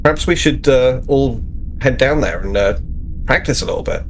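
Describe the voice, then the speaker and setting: A man's voice: mid-range voice, lively intonation, normal speed, a little hiss or hum, lightly acted, poor audio. A man playing an eager, boastful but awkward young ensign in a tabletop roleplay, stammering and repeating his words. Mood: hesitant, eager.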